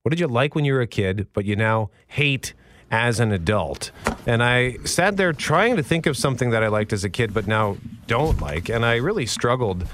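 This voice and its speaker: A man with a deep voice hosting a casual radio show, telling a story in a lively, exasperated way. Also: normal speed, a little hiss or hum. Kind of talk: casual morning radio chat. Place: radio studio.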